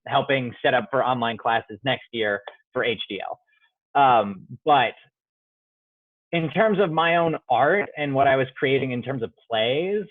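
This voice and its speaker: A man's voice: mid-range voice, no echo. Young man, playwright guest, hesitant and reflective, thin phone-line sound, dry. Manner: thoughtful, many fillers and restarts. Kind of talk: reflective casual interview answers.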